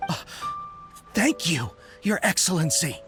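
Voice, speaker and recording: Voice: medium pitch, very animated, a little slow. An actor voicing a young, headstrong soldier who pleads with their leader in an earnest, deferential way in a game cutscene. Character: young devoted soldier of an island army, headstrong. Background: game music.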